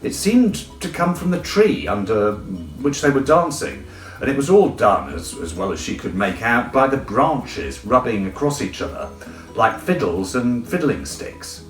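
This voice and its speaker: A man narrating a children's storybook in an expressive, theatrical way. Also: mid-range voice, unhurried, roomy sound, far from the mic, soft background music, low-quality recording. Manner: theatrical, animated. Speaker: audiobook reader.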